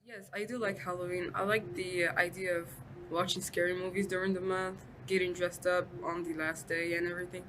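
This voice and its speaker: A young woman student giving chatty, lively interview answers. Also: fairly low pitch, a little fast, noisy background. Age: young adult.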